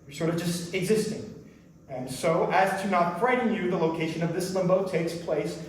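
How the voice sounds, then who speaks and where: A man's voice: high-pitched, moderate pace, slight background noise, lightly acted. Conflicted afterlife official, distant and echoey man acting. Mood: measured, blunt. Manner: blunt but faltering, repeats himself. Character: conflicted lonely afterlife official judging the newly dead. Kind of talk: staged play reading. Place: indoor performance space before an audience. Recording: echoey hall, distant microphone.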